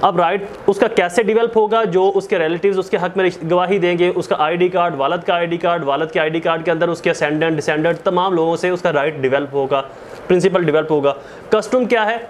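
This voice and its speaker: A man's voice: sits high, steady tone, quick pace, a little hiss or hum. A man with a Pakistani accent giving a didactic law lecture, repeating points, posing and answering his own questions and switching into English legal terms. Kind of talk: explanatory lecture.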